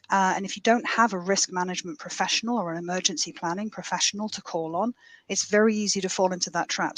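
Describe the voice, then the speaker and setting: A woman on a conference panel, explaining her points briskly and with emphasis. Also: mid-range voice, no echo. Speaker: panelist, data lead.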